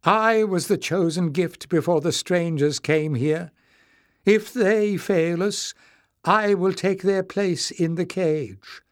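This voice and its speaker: A man's voice: medium pitch, animated, very slow, few pauses, dark tone, no echo, studio quality. A man voicing a proud, brave old grandmother in a storybook, with a quavering voice.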